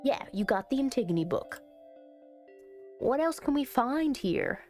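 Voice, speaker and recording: Female game streamer, chatty and expressive, higher voice with lively swings, casual let's-play commentary. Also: measured pace. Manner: chatty, expressive, thinking out loud. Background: background music.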